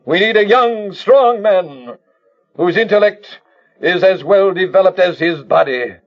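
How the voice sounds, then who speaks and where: Casting: grave alien leader-scientist, high voice, theatrical declamation, old sci-fi radio serial with dull lo-fi sound. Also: male voice, lively intonation, measured pace. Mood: commanding. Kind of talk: old-time radio sci-fi drama acting.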